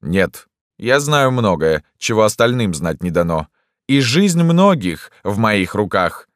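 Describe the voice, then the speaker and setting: Male reader as old doctor, creaky, grating, irritable, bossy, slightly raised pitch, close dry sound. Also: sing-song, a little slow, dry recording. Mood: commanding. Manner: grumbling, authoritative. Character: cranky, bossy old male doctor, gnomish and grey-bearded.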